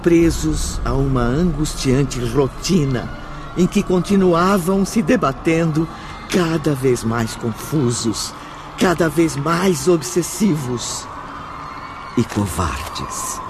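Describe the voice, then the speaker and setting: An actor narrating a dark radio drama in a solemn, measured, ominous way. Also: male voice, a little high, lively intonation, background noise. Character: solemn omniscient male narrator of a dark spiritual tale. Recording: loud dramatic music under the voice.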